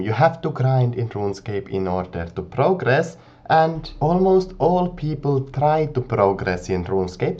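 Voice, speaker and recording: A man with a cold, giving casual, earnest commentary in a gaming video. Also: medium pitch. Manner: earnest, explanatory, animated rise and fall. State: has a cold. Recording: dull lo-fi mic recording.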